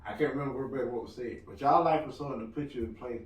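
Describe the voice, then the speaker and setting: A loud-voiced man chatting on a podcast, rambling and cracking jokes in an easy, mid-pitched voice. He sits well back from the mic, and the room adds a roomy echo around him. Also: rapid-fire, recorded from a distance. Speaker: podcast co-host. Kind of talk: casual podcast chat.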